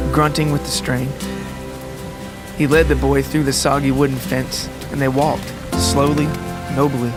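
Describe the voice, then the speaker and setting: A man reads literary fiction as narrator. His delivery is deliberate and measured, with a mid-pitched, even tone. Music and falling rain sit clearly behind the voice. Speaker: story narrator.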